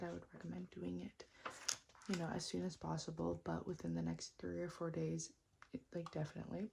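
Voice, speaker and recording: A woman doing an ASMR roleplay as a repair technician, whispering and speaking softly in a gentle, reassuring, unhurried way. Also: lowish voice, vocal fry, noisy background. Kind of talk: ASMR roleplay, mostly whispered and soft-spoken.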